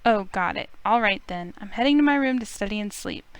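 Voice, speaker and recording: Weary young heroine read by a woman: clear tone, medium pitch, earnest visual novel narration and dialogue. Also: lively intonation, fairly quick, no echo, lightly acted. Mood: reflective, tired.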